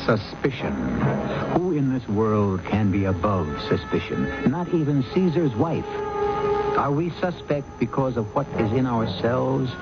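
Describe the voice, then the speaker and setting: A man's voice: mid-range voice, lively intonation, unhurried, loud music behind the voice. A man hosting a radio mystery drama as an ominous, philosophizing narrator, with portentous rhetorical delivery. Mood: ominous, musing. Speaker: host narrator. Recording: dull vintage radio recording.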